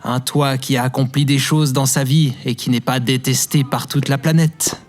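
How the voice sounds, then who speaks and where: A man's voice: medium pitch, flat delivery, moderate pace, soft background music, close to an everyday voice. Smug, condescending man played by an actor. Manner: condescending, scornful. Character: smug, contemptuous successful parallel-universe double. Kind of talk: French audio drama acting.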